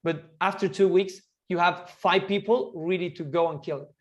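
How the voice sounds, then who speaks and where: A man, talking eagerly and quickly as an interview guest, often restarting his sentences. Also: high-pitched, fairly even intonation, lo-fi sound. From Argentina. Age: 20s. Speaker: guest entrepreneur. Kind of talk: casual interview chat.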